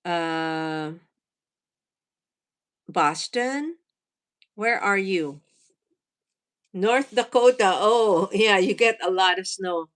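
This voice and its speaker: A woman's voice: mid-range voice, drawn-out words, pauses often. A woman in her forties from the Philippines, a native Tagalog speaker, hosting a casual livestream, reading comments aloud and laughing often. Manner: chatty, laughs often, thinks aloud with fillers. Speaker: livestream host.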